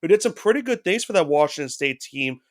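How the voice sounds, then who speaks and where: A man's voice: on the high side, animated, quick pace. Congested man chatting about college football. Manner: rambling, with restarts and hedges. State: congested head cold. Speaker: podcast co-host. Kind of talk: casual sports talk.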